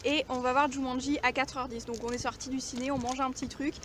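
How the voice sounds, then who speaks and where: A woman vlogger speaks in a high voice. Her talk is casual and colloquial. The handheld recording has faint background noise. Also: flat delivery, fast, a bit bright. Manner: informal, colloquial speech. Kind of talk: casual vlog chat.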